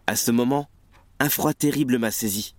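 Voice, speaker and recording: A man's voice: mid-range voice, normal speed, no echo, close to an everyday voice. Man playing an anguished, fearful young revolutionary. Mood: ashamed, afraid. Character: anguished, fearful young male revolutionary ashamed of his fear. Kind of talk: French audio drama acting. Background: music.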